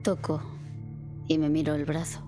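Woman, Spanish accent, low voice, casual, tabletop player, faint music. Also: completely flat delivery, normal speed. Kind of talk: playing a tabletop horror game.

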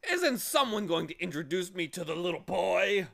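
A man voicing a large, loud, dandyish braggart of a beetle with a booming voice, in a storybook reading. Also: very animated, moderate pace, creaky, exaggerated voice. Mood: blustering, self-important. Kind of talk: theatrical children's storybook reading.

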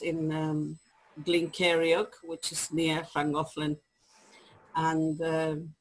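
Chilean woman, 50s, Spanish accent; slow, hesitant interview guest on a video call. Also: low-pitched, rough recording. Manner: hesitant, many ums and restarts, laughs easily. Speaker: guest, publisher. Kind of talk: conversational interview. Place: home study with bookshelves.

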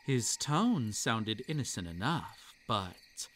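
A man's voice: fairly low pitch, sing-song, slow pace, fairly bright tone, slight background noise, full character voice. A man voicing a male kitsune spirit in an audio roleplay, gleeful and menacing as he savors each word. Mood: ominous. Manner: relishing, teasing storyteller.

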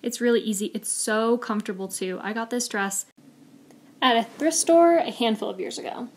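A woman's voice: on the high side, normal speed, darkish tone. Chatty young woman walking through her gear. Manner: casual, chatty, upbeat. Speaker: vlogger. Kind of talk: voice-over gear walkthrough.

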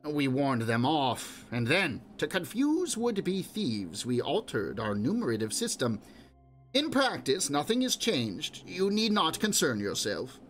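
Glib audiobook rogue, smooth and evasive, voiced by a man, lively rising tone. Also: fairly high pitch, unhurried, faint music behind, pitched above the performer's own voice, exaggerated voice. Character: smooth-talking roguish male quarry worker. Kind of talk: audiobook character voice.

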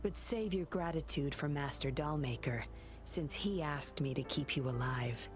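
Woman playing a teasing cool game heroine, very low voice, music behind. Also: moderate pace, lightly acted. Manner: dry, teasing. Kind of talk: video game voice acting.

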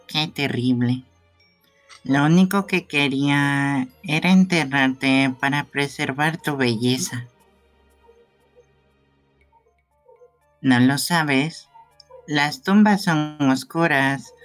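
A theatrical boy gravedigger from a video game, played by a man. He is morbidly courteous and wistful, and takes his words slowly over faint music. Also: fairly high pitch. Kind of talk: fan game dubbing.

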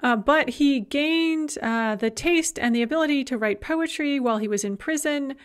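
Woman lecturer with a slightly high voice. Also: moderate pace. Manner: conversational, frequent "uh" fillers. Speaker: university lecturer. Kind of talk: recorded slide lecture.

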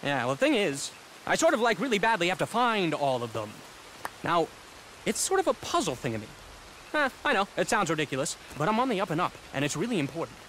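A young male actor playing an eccentric, flustered, excitable young gentleman with old-fashioned slang in a video game. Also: sits high, fast, slight background noise. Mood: nervous, apologetic. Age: young adult. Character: eccentric, jittery, slang-talking young gentleman, lost and scheming. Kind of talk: video game character acting.

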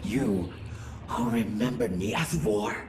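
A woman playing a vengeful, grieving alien war goddess in a video game, delivering a grandiose, theatrical monologue in a rasping voice. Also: rumbling low, background noise. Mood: solemn, brooding. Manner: grandiose, slow, theatrical, swinging from whispers to shouts. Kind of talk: dramatic game audio-log monologue. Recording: echoey, distant voice with music underneath.

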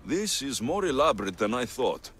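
Video game mercenary adventurer: confident, dry, mid-pitched with animated intonation. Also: male voice, normal speed, a little hiss or hum. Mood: curious, musing. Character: confident wry Greek mercenary adventurer, adult man.

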